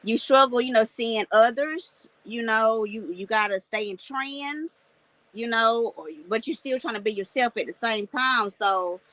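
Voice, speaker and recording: A woman in her thirties from North Carolina with a squeaky voice, chatting casually as an interview guest, laughing often and using lots of fillers. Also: a little slow, fairly bright tone, dry recording, poor audio. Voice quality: self-described squeaky voice. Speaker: guest artist.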